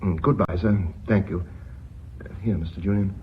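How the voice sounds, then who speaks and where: A man's voice: deep voice, normal speed, lightly acted. A man playing a deferential but shrewd bookkeeper in a British radio drama, speaking politely and precisely with a British accent. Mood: polite, pleased. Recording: muffled, noisy old radio recording.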